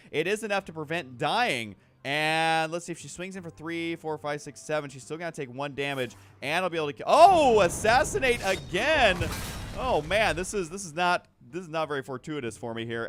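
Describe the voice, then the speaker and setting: A man hosting a gaming video with casual, jokey commentary and drawn-out words. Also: fairly high pitch, a bit bright, a little hiss or hum.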